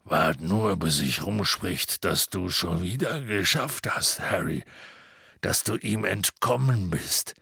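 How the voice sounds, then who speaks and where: A man voicing a gruff, protective half-giant man with a low growling voice in an audiobook. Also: expressive, slow, bright, forceful tone, no echo, studio quality, full character voice. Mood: gruff insistent.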